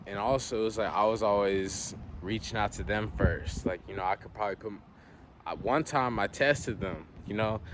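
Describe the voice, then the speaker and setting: A man's voice: lowish voice, background noise. A man from the Southern US with a Southern accent, rambling in an unscripted monologue and saying "you know" a lot. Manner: rambling, frequent "you know", laid-back. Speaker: vlogger.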